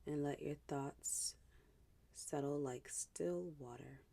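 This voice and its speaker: Woman, meditation guide, very slow pace, creaky low edge, hushed, gentle, soothing scripture-based narration. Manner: hushed, gentle, unhurried, with pauses.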